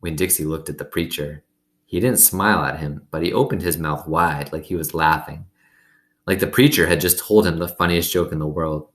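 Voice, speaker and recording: A man's voice: extremely low pitch, fairly even intonation, moderate pace, a bit bright. A man reading a storybook aloud expressively as host, with simple, conversational asides.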